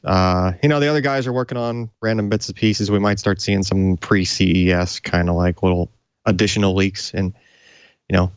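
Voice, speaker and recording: A man's voice: deep voice, clear, resonant tone, no echo, highs cut off. A man co-hosting a tech show, talking casually in informal, hesitant phrases. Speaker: tech show co-host, hardware reviewer. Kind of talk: casual tech podcast chat.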